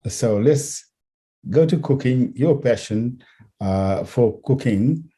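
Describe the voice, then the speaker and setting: A man's voice: mid-range voice, animated, takes it slowly, dark tone. A man from Fiji hosting an interview over a video call, chatty and stumbling over repeated words. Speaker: interviewer, host. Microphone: video call webcam.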